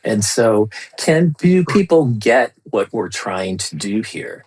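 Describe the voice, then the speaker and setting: A man's voice: lowish voice, sing-song, takes it slowly, darkish tone, husky. A man being interviewed as a guest, explaining his work in a loose conversational way with many fillers and restarts. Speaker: guest, storytelling lead at a space lab.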